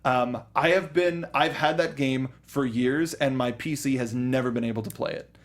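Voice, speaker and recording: A man's voice: mid-range voice, normal speed. A man co-hosting a film review show, talking casually with a fan's enthusiasm. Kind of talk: casual chat and commentary.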